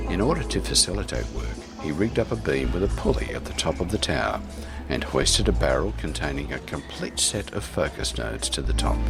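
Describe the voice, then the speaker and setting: A man hosting a radio storytime show, moving between casual announcements and scripted story reading over music. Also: very deep voice.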